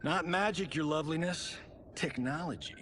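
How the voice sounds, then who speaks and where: A man's voice: fairly high pitch, normal speed, fairly bright tone, lightly acted. A man voicing a cocky, flirty, smug show-off in a video game, with a glib, boastful drawl. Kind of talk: video game cinematic acting. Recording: clear background noise and ambience.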